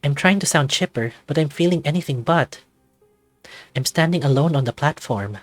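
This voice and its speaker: A man's voice: on the high side, normal speed, no echo, soft background music, close to an everyday voice. A man voicing an anxious, self-doubting man in his thirties, narrating a visual novel introspectively in the first person. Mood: uneasy, self-conscious. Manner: introspective, confessional, dramatic reading.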